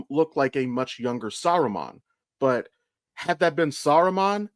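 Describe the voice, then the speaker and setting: A man's voice: mid-range voice, no echo. Man podcasting in a dry, close sound. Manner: sweary, explanatory fan talk. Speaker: podcast co-host. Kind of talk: casual chat, opinionated pop-culture commentary.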